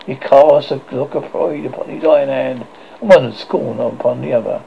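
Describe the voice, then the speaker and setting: A man's voice: medium pitch, normal speed, gravelly. A man reading a children's storybook aloud, stumbling and restarting often. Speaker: audiobook reader. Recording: dull lo-fi recording with faint noise.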